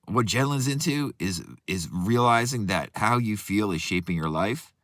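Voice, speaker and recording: Hoarse deep-voiced man, earnest coach. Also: lively intonation, normal speed, fairly bright tone, no room sound, clean studio sound. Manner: earnest, with many restarts and asides. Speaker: manifestation coach. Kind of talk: reflective talk with book readings.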